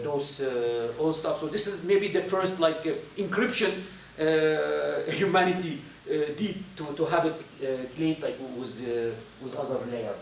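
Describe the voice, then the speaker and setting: Male lecturer, Syrian accent, native Arabic speaker, halting informal delivery; roomy echo, faint noise. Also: a little high, distant mic. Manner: halting, repetitive phrasing with searching pauses.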